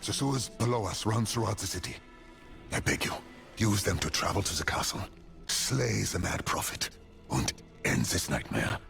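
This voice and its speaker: Deep, gravelly, noble military commander. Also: male voice, very animated, normal speed, fairly bright tone, heavily acted. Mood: pleading, resolute. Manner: solemn, formal, urgent. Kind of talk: fantasy video game acting. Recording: music behind.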